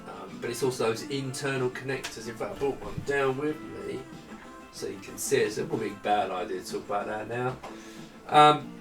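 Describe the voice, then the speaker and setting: A middle-aged man giving a casual, rambling, self-deprecating hardware demonstration, chatting off the cuff. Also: medium pitch. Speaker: presenter demonstrating retro computer hardware. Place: small indoor room at a desk. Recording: roomy, distant camera microphone.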